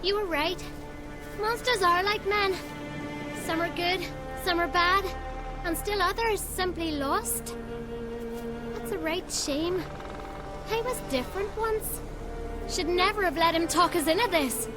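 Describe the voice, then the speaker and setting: A woman's voice: very high voice, a little slow, long pauses. A woman voicing a small, childlike, trusting creature in a game, speaking earnestly and plainly. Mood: rueful. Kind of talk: video game cutscene acting. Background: music.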